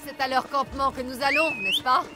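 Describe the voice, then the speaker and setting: A woman's voice: high voice, fairly even intonation, fairly quick, lightly acted. A woman voicing a tough mercenary warrior in a video game, cool, dry and self-assured. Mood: confident. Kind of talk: video game voice acting in French. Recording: game dialogue over loud music.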